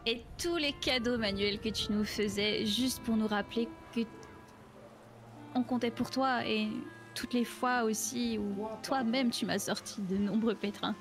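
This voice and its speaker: A woman playing a gentle, supportive friend in an improvised game roleplay scene, reflective and encouraging. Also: a little high, unhurried, over music.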